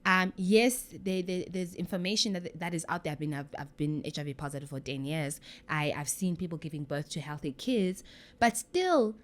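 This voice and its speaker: A woman's voice: lowish voice, moderate pace. A South African woman in her thirties, a guest telling her personal story in a candid conversation, acting out the voices of people she quotes. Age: 30s.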